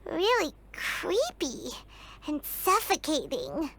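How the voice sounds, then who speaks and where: A woman voicing a small, earnest, excitable childlike companion in a video game, eager and expressive. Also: high voice, takes it slowly, a little hiss or hum, exaggerated voice. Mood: uneasy wonder.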